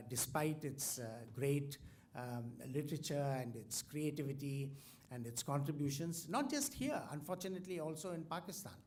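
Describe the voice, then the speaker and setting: A man from India, with Tamil as his first language, introduces a guest lecturer at a university event. He speaks in halting sentences, filling the pauses with ums. A faint hum of room ambience sits behind him. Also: fairly high pitch, darkish tone. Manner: hesitant, full of ums and restarts. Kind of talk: formal speech with many fillers.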